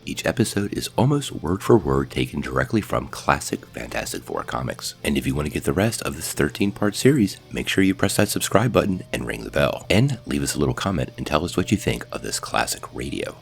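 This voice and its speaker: A man reads a scripted introduction as presenter. His voice is extremely deep and creaky, yet moves with lively intonation. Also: a little fast, hardly any pauses. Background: faint music.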